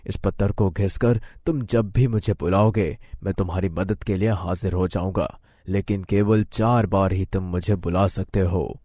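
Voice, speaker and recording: A man voicing a solemn, benevolent shape-shifting serpent spirit in a cartoon moral story, speaking in a grave, measured and gracious manner. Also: low voice, fairly even intonation, mellow, no room sound, clean studio sound, pitched below the performer's own voice. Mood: calm, assuring.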